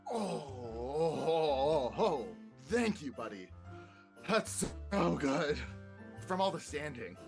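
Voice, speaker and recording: A man playing a friendly, wistful old animated snowman in a live table read of a comedy film. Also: on the high side, slow pace, vocal fry, soft background music, heavily acted. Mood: achy, groaning.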